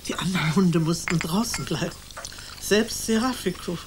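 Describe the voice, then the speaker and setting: A woman's voice: fairly low pitch, moderate pace, background noise, natural voice. An actress playing a gushing aristocratic countess who laments her dogs with theatrical sighs, in a radio drama. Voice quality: theatrical, exclamatory, sighing.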